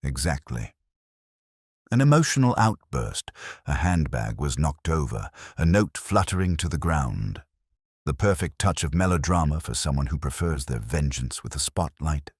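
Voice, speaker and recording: Male reader as shrewd detective inspector, extremely low pitch, lively intonation, unruffled, dry wit, mystery audiobook. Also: moderate pace, breathy edge, dry recording, heavily acted. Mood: dryly speculative.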